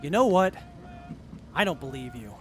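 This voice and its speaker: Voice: mid-range voice, sing-song, moderate pace, fairly bright tone, faint music behind. An actor in an audio drama playing a hurt, furious young friend, accusing and emotional. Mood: hurt, angry.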